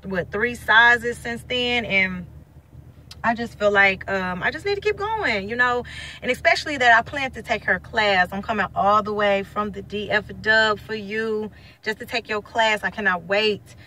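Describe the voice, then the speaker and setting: Young st woman, bright voice, blunt slangy chat, phone in her car, faint hum behind. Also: medium pitch, normal speed. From St. Louis, USA. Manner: blunt, slangy, lively and chatty. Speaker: vlogger. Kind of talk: casual selfie vlog. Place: inside a parked car. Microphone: phone held at arm's length.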